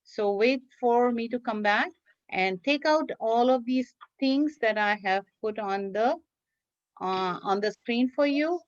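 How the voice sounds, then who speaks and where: Woman, children's craft teacher. Unhurried, encouraging, repeats simple steps. Dull, dry online-class sound. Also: fairly high pitch, no echo. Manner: encouraging, praising, repeating instructions. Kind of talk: instructional talk to young children, simple step-by-step.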